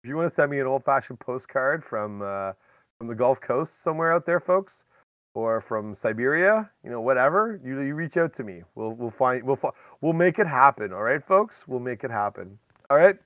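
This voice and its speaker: A man's voice: mid-range voice, animated, normal speed, no room sound, lo-fi sound. A man hosting a podcast in casual, rambling chat, talking directly to listeners with folksy exclamations. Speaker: podcast host.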